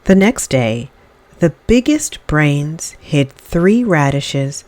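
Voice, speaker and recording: A woman reading a children's story aloud in expressive, dramatic narration with deliberate phrasing. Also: sits low.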